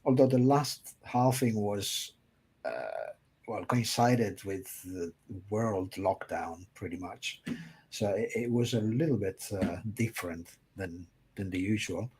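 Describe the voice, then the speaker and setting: Low-voiced man explaining liquidity maps. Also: slow, darkish tone, husky. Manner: hesitant, many fillers. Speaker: crypto market analyst. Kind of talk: casual livestream chat.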